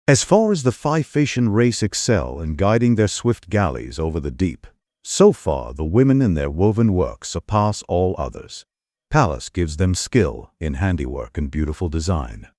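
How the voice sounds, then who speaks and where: A man's voice: deep voice, a little mellow, no echo, clean studio sound. A man giving formal, dramatic narration of an epic audiobook. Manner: formal storytelling.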